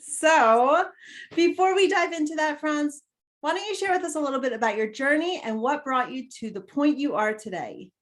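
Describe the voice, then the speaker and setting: A woman hosts a podcast interview and reads her guest's introduction smoothly. Her voice sits a little high, and she asks her questions in a friendly way. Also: moderate pace. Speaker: podcast host, therapist.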